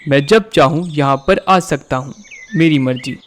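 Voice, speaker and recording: A man's voice: a little high, moderate pace, slight background noise, lightly acted. A man voicing a domineering, accusing kite bird who owns a school in a Hindi cartoon. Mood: stern, accusing. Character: angry, bossy male kite bird, school owner.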